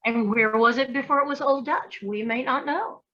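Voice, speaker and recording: A woman's voice: sits high, normal speed, muffled recording. A woman guest in a casual conversation, talking animatedly and acting out imagined voices as she makes her points. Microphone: video call.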